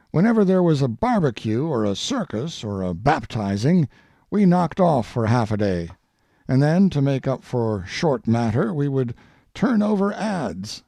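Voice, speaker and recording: A man reading a humorous old speech aloud as scripted narration, in a measured storytelling delivery with deliberate pauses. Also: medium pitch, creaky, dark tone, dry recording. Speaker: audiobook reader.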